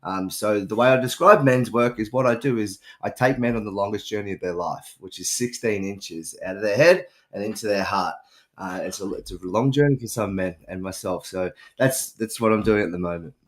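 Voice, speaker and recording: A man's voice: on the low side, fairly quick. A man born in New Zealand, a men's coach, introducing himself earnestly on an online summit call.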